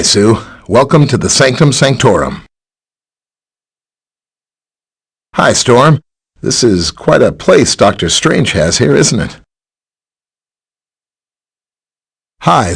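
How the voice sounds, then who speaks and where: A man playing a friendly, helpful scientist superhero in a video game, with a chatty, polite, explanatory way of talking and a slightly husky voice. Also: medium pitch. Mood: friendly, welcoming. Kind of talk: scripted video game character dialogue.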